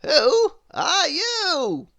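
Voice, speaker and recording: A woman's voice: extremely high pitch, wildly swooping pitch, very slow, a bit bright, dry recording, pitched above the performer's own voice, full character voice. A woman voicing a helpful male storybook wizard who speaks in an emphatic, theatrical, reassuring way.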